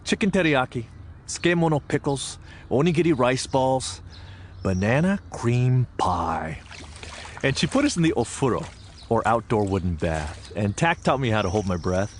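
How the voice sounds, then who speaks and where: A man's voice: mid-range voice, a little hiss or hum, lightly acted. An American actor playing an earnest, reflective Japanese American man recalling his farm childhood in a dramatic audio theatre monologue. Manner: expressive, deliberate storytelling with weighted pauses.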